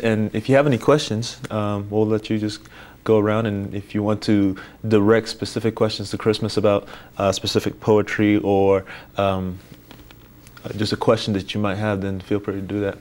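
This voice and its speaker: A man from Nigeria moderating a panel discussion, speaking haltingly with frequent fillers. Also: on the low side, darkish tone.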